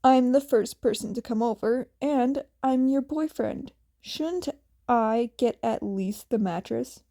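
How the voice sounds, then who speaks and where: A woman's voice: on the high side, takes it slowly, warm tone. A woman playing a whiny, eager, playful young boyfriend in a story, pleading and emphatic. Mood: indignant, pleading. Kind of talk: acted storybook dialogue.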